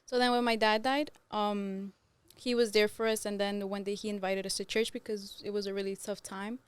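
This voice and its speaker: A woman in her twenties from Ecuador with a clear, smooth voice at a medium pitch. She talks casually and personally as a guest on a podcast. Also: little pitch movement, moderate pace, no room sound. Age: 20s.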